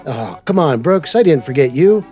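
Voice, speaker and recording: A man with a fairly high voice hosts a semi-scripted trucking show. He talks in a playful, folksy way, with soft music playing underneath. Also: expressive, moderate pace. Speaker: host.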